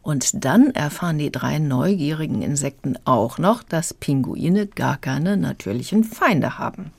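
Female radio reviewer: deep for a woman, explanatory, studio-dry delivery. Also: lively intonation, unhurried, creaky, breathy edge, no echo. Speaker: reviewer of children's audiobooks. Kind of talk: radio review, retelling in plain words.

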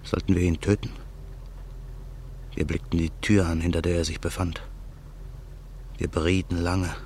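A brooding narrator in a radio play, recalling his past in a reflective, measured way. His voice is very deep, with a faint hiss behind it. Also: flat delivery, darkish tone.

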